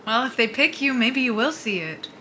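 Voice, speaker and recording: Bright, wry female soldier. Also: fairly high pitch, expressive, normal speed. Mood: wry, nervous. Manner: joking, sardonic, casual. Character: wry, self-mocking female job candidate, a down-on-luck soldier. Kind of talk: naturalistic audio drama dialogue.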